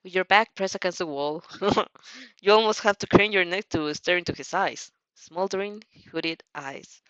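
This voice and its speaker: A woman's voice: lowish voice, unhurried, a bit bright, clear, resonant tone, dry recording, dull audio. A woman narrating fanfiction expressively, dropping in casual asides as she goes.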